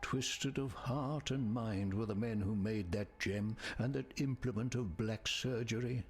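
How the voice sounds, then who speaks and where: An actor playing a grave, scholarly man in a fantasy video game, speaking in measured, literary phrases with a husky voice. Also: fairly low pitch, slight background noise, natural voice. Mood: solemn, reproachful. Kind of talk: scripted video game dialogue.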